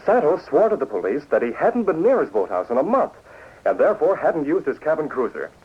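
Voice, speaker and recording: An actor playing an assured, shrewd crimefighter in a 1940s radio drama. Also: male voice, fairly high pitch, big pitch swings, fairly quick, slight background noise. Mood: explaining. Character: assured, shrewd male masked crimefighter and publisher. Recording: dull 1940s radio recording.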